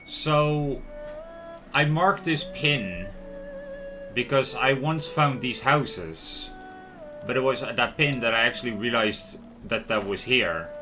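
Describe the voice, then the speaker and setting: A man hosting a livestream, giving casual, expressive, rambling game commentary addressed to his viewers. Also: medium pitch, slow, music in the background. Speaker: livestream host.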